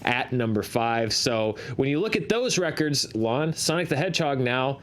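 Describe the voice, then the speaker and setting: A man's voice: mid-range voice, animated, normal speed, a bit bright. A middle-aged man hosting a box office show, talking through figures conversationally with a self-deprecating, numbers-loving manner.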